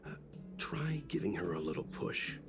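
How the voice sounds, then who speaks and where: An actor voicing a calm, courteous gentleman in a video game, with a polite, measured delivery. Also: low voice, music in the background.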